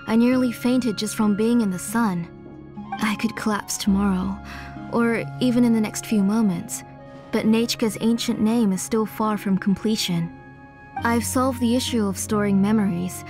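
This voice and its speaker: A woman playing an aging, devoted mother and engraver in a video game, measured and earnest, weary but resolute. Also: fairly high pitch, over music, natural voice.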